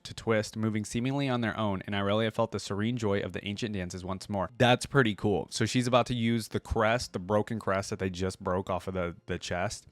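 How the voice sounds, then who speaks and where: A man hosting a casual reaction video, reading text aloud and thinking out loud in a conversational way. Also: fairly low pitch, no room sound. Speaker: host of a gaming lore reaction channel.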